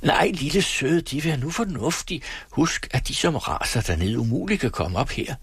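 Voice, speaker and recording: A kindly, firm nurse speaks gently and reassuringly, her voice raised and bright, as a gravelly male reader puts her on. She soothes but does not give way. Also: medium pitch, sing-song, pitched above the performer's own voice, full character voice. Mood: soothing firm.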